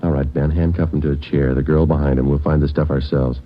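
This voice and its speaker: An actor playing a dogged, stoic police detective in a 1940s radio drama, speaking in clipped, matter-of-fact, deadpan sentences. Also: male voice, extremely low pitch, quick pace. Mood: firm. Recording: muffled old radio recording with music.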